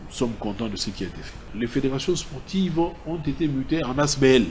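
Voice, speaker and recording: A man's voice: medium pitch, darkish tone. Emphatic Congolese man over background noise. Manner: emphatic, enumerates his points. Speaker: sports federation official. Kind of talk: explanatory press remarks. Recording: clear background ambient noise.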